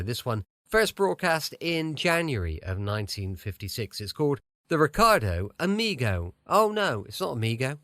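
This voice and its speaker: A man's voice: on the high side, a bit bright, dry recording, clean studio sound. A British man hosting a radio show podcast, introducing it in a cosy, chatty style. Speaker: podcast host.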